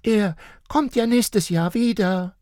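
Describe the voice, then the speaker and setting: A man reading a storybook, voicing an eager little girl who explains things in a high, chirpy voice. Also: takes it slowly, a little mellow, no room sound, studio quality.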